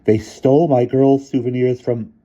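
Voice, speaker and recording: A man voicing a hysterical, shrill older headmistress in a screeching falsetto, read from a storybook. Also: fairly even intonation, normal speed, mellow, muffled recording, close to an everyday voice. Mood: triumphant.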